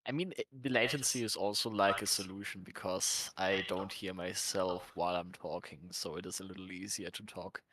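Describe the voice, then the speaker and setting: A man with a German accent speaks haltingly over a voice-chat call, filling his pauses with uhs. His voice sits a little low. The line carries a roomy echo. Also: steady tone, fairly bright tone. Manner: hesitant, many fillers. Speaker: guest on voice chat.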